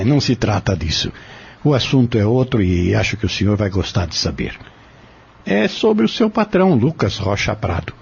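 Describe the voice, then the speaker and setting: A middle-aged male peddler in an audio drama, acted by a man, courteous and insistent. The voice is very raspy and creaky, with animated pitch and folksy politeness. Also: moderate pace, heavily acted. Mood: genial, persuasive. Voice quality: raspy, gravelly. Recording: dull, lo-fi audio drama recording.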